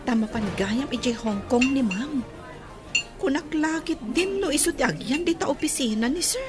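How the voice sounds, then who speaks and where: A woman's voice: sits high, expressive, moderate pace. A woman with an Ilocano accent playing a chatty young working student at a restaurant in a radio drama. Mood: chatty, teasing. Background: loud music and clinking dishes.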